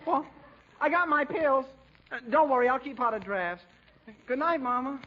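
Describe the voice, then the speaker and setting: A man's voice: extremely high pitch, expressive, moderate pace. A man playing a timid, fussy, whiny young mama's boy in 1940s radio comedy style. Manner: whiny, hesitant, prim. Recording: dull, hissy old radio recording.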